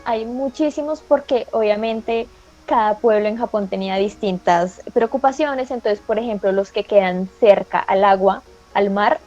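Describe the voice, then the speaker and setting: Mellow Colombian woman, lo-fi sound. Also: on the high side. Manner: enthusiastic, emphatic repetition. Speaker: co-host. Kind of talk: casual panel chat. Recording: dull lo-fi sound.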